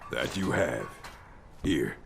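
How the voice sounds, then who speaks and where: Gravelly orc warrior, gruff, dutiful, terse, swinging intonation, fantasy game dialogue. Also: male voice, animated, slow, slight background noise, full character voice. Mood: grudging respect. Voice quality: deep, gravelly. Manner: blunt, terse, formal. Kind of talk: video game character dialogue. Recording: game music playing behind.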